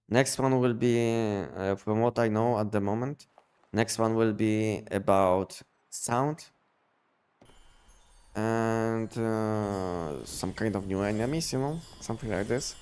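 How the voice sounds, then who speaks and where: A man chatting casually on a game livestream, explaining things in a halting, repetitive way. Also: on the low side, darkish tone, clean tone. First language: not English. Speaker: game live streamer.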